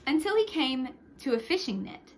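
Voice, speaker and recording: A woman reading a picture book aloud to young children, expressively, with short hushed asides to the listeners. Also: high-pitched, normal speed, a little hiss or hum. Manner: expressive, with hushed whispered sound words and asides. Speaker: storytime reader for young children.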